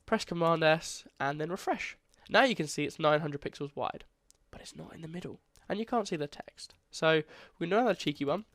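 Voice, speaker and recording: A young man with a stuffy, congested voice walks viewers through a beginner coding lesson in a loose, chatty way, often restarting his phrases. His voice sits a little high for a man, and the recording is dry and close with no room sound. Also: lively intonation, a little fast, hardly any pauses, a bit bright, dry recording. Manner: casual, chatty, self-correcting. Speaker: coding tutor. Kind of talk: screen-recorded coding tutorial.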